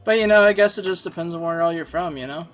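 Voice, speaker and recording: Guy streaming from home, high voice, rambly and joking at himself, laughs a lot. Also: fast talker, faint music behind. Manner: rambling, self-deprecating, laughs easily. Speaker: game streamer. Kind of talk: casual chat while playing and reading chat.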